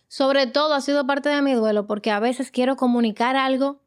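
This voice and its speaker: A woman's voice: sits high, steady tone, fairly quick, clear, resonant tone. A woman from the Dominican Republic with a Dominican Spanish accent, speaking as a podcast guest in a frank, reflective conversation. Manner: reflective, frank about struggles. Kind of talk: casual conversation.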